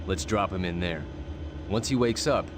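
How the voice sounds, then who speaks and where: An actor playing a calm, practical heroic ally in a video game, speaking in a measured, matter-of-fact way with dry good nature. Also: male voice, low voice, noisy background, lightly acted. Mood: wry, steady. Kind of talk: video game cutscene acting.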